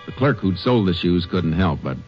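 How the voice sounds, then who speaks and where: An actor playing a stern, no-nonsense Texas Ranger, terse and authoritative, in an old radio drama. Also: male voice, low voice, expressive, normal speed, soft background music. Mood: brisk, commanding. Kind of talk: 1950s radio drama acting and narration. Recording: muffled, noisy old radio recording.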